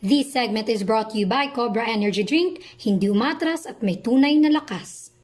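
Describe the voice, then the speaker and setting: A young woman with a Filipino accent reading scripted radio drama narration in an earnest, measured way, playing host and confessional letter writer. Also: a little high, darkish tone, close to an everyday voice. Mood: sponsor read. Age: young adult. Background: music.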